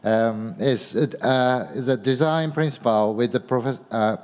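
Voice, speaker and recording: A man's voice: medium pitch, slow, a little mellow. An older man introducing speakers formally as a moderator, hesitant and stumbling over words. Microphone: microphone on a stand. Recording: echoey hall.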